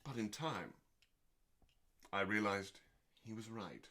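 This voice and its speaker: Low-voiced man giving a dramatic reading. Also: expressive, bright, forceful tone. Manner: deliberate, theatrical pauses between short phrases. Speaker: host and reader. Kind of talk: dramatic, calming audiobook-style cookbook reading.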